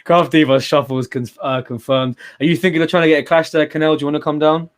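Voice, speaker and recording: A man's voice: on the high side, level tone, fast talker. A young man hosting a battle rap livestream, talking casually and animatedly, swearing freely and laughing often. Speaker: host of a battle rap stream.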